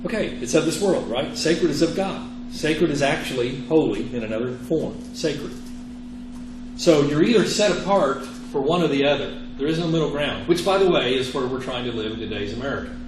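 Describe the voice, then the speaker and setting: A man's voice: on the high side, lively intonation, moderate pace. A man who is a native Italian speaker, teaching a religion class in an earnest, emphatic way and often putting questions to his students. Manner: earnest, emphatic, quizzing listeners with rhetorical questions. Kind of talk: interactive religious lecture. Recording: roomy, echoey classroom with clear background noise.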